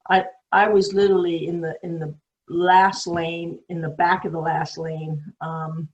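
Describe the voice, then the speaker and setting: A woman's voice: on the low side, flat delivery, measured pace, highs cut off. An older woman with a New York accent tells her story casually over a video call. She rambles and fills her sentences with ums and false starts. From New York City. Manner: rambling, many ums and restarts. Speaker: guest swimmer.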